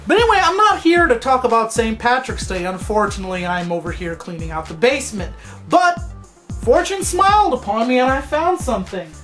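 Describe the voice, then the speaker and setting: Female online host, higher-pitched, offhand and rambling, music behind her. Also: sing-song, brisk, fairly bright tone. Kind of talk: casual chat to viewers.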